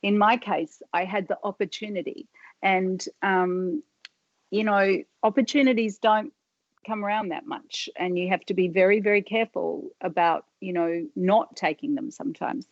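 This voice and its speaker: A middle-aged woman talks through her story as a podcast guest. She rambles, breaks off mid-sentence and leans on fillers. Her voice sits at a middle pitch in a dry, slightly muffled recording. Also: normal speed, no echo. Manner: rambling, self-interrupting, many fillers. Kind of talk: casual interview storytelling.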